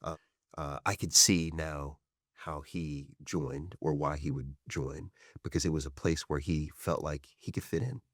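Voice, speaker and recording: A man hosting an interview, asking gentle questions and offering brief affirmations. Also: very deep voice, fairly even intonation, measured pace, slightly rough, dry recording, clean studio sound. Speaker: interview host. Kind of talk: conversational interview.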